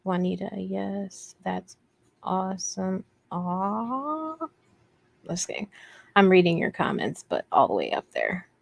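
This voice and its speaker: A woman with a soft voice, hosting a casual craft livestream in a rambling, playful, self-deprecating way as she answers viewer comments. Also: medium pitch, big pitch swings, slow, creaky, dry recording. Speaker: host of a crochet livestream.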